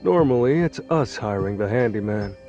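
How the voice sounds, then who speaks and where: A man's voice: fairly low pitch, expressive, mellow, lo-fi sound. An actor playing a guarded, stern man of a secretive underworld group, speaking formally and measuredly in a game dub. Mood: measured, informing. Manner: measured, formal, coolly forbidding. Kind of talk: English dub acting for a game cutscene. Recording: faint music behind the voice.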